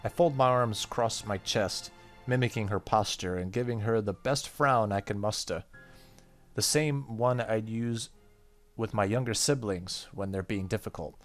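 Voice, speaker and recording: Deep-voiced man doing a game let's play. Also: moderate pace. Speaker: gaming YouTuber. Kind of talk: let's play, reading game text aloud with casual comments. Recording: faint game music behind voice.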